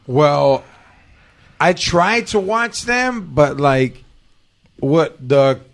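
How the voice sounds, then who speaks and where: A male podcast host with a fairly high-set voice, talking in loose street slang. He jokes with his guests and tells stories in an easy, casual flow. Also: lively intonation, very slow, creaky. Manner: loose, slangy, joking banter.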